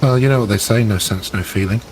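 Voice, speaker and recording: Man as male sci-fi officer, low pitch, deadpan, in charge, tabletop roleplay, faint noise. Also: fast, lightly acted, low-quality recording. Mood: dry. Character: dry, teasing male starship officer in command.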